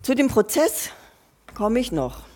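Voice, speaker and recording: A woman's voice: fairly high pitch, very animated, measured pace, mellow. A woman giving a sermon, earnest and personal, speaking straight to her listeners and often restarting or repeating her phrases as she thinks aloud. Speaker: preacher.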